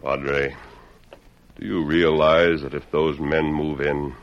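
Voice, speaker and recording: An actor playing a brave, stoic hero posing as a Mexican revolutionary, speaking formally in an old-time radio western. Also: male voice, very deep voice, level tone, slow. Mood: grave, troubled. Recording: muffled, hissy old radio recording.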